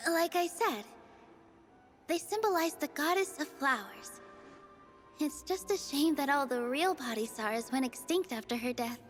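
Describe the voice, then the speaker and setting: A young festival dancer in a game, sweet and earnest. Her high voice is gentle and expressive, full of wonder, with faint music underneath. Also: measured pace, pauses often. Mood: wonder, delight. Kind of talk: video game voice acting, storytelling.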